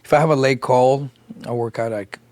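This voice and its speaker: Raspy, tired middle-aged man hosting a laid-back podcast, rambling with lots of filler words. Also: mid-range voice, steady tone, normal speed, darkish tone, no room sound. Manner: rambling, filler-heavy storytelling. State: tired after long day of meetings. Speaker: podcast co-host. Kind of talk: casual chat.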